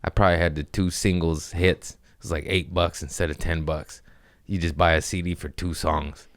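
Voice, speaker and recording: A man hosting a podcast, rambling through loose, profane jokes in casual chat with a deep voice. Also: level tone, normal speed, fairly bright tone, dry recording, studio quality. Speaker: podcast host, comedian.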